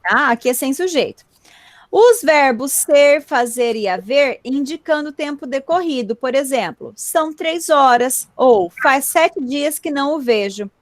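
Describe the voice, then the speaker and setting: A woman teaching an online class from home, her voice set high and captured dry through a computer mic. She explains each rule patiently and keeps checking that her students follow. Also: animated, measured pace, dry recording. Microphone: computer mic on a video call.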